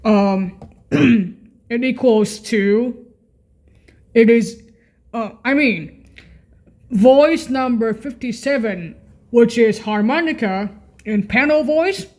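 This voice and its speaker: A woman's voice: fairly high pitch, darkish tone. A woman giving an informal, unscripted on-screen walkthrough, hesitant and often repeating and correcting herself. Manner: frequent throat clearing. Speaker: video creator and presenter.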